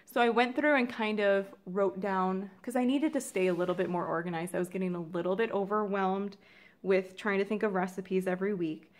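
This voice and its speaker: A woman's voice: mid-range voice, fairly even intonation, a little fast. A woman in her twenties, chatting casually and upbeat in a home how-to vlog, with lots of ums and likes. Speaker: vlogger. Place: home room indoors, then a desk.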